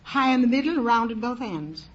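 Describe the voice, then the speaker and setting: A woman's voice: on the high side, animated, a little fast, over a noisy crowd. A woman of seventy from Ohio, giving spry, matter-of-fact quips as a contestant on an old radio quiz. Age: 70s. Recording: old 1940s radio, dull, very noisy.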